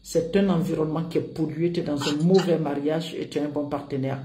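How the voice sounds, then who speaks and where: A woman's voice: low voice, steady tone, fast, roomy sound, slight background noise. A woman marriage coach giving advice to callers, checking in with listeners. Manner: warm-toned counsel with repeated "d'accord?".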